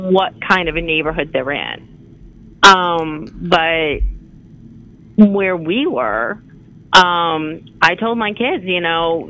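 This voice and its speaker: A woman's voice: on the low side, narrow, tinny audio. A middle-aged woman calling in to a talk show, chatting casually. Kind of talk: casual conversation.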